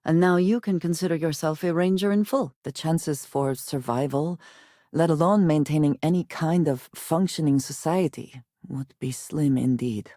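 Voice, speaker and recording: An actress playing a guarded, wary woman companion in a space video game, with formal, measured phrasing and a dry manner. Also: sits low, dark tone, no room sound, close to an everyday voice. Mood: measured, serious.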